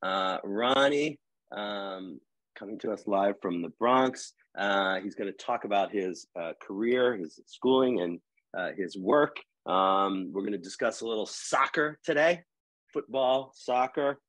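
A man's voice: on the low side, a little slow, fairly bright tone, no echo, rough recording. A middle-aged man hosting a live video call conversation, rambling with many fillers and restarts, wearing earbuds. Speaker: host, editor-at-large. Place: home room with wood panel wall.

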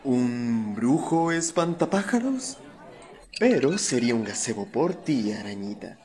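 Man playing a lively, bumbling flirty cat. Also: medium pitch, measured pace, some chatter behind. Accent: Latin American. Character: flirty, awkward, rambling male cat in costume.

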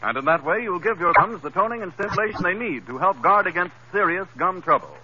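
A man working as a 1930s radio announcer, reading commercials with a smooth, earnest delivery. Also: high-pitched, animated, fast talker, bright, forceful tone. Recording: dull old radio broadcast with faint hiss.

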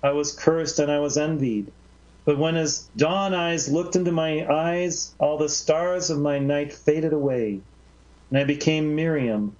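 A man reading aloud as Mary Magdalene, a grave woman recalling how she was transformed, in a measured, reverent voice. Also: fairly high pitch, darkish tone, voice pushed up, natural voice. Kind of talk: slow solemn reading of a literary monologue. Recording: slightly dull lo-fi recording.

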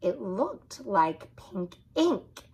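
A woman in her thirties reading a children's story aloud in an expressive narrating style. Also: medium pitch, very slow, slightly rough. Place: small furnished room indoors.

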